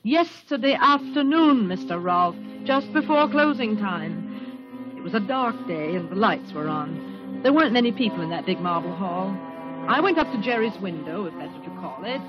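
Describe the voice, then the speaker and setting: An actress playing an earnest, desperate young woman in 1940s radio style, with a husky voice. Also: mid-range voice, lively intonation, moderate pace, music in the background, lightly acted. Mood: earnest, pressing. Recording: muffled old radio broadcast.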